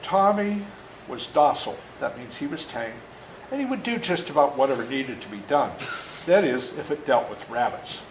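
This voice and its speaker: An older man reads his own story aloud to children in an animated, folksy way, stopping for asides to explain things. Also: mid-range voice, normal speed. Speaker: author reading his own book to schoolchildren. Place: indoor room at a school. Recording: echoey room with faint background noise.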